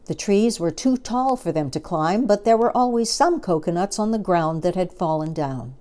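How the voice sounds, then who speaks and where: A woman's voice: fairly low pitch, animated, normal speed, warm tone. A woman reading a children's story aloud as a storyteller, expressively and with dramatic emphasis.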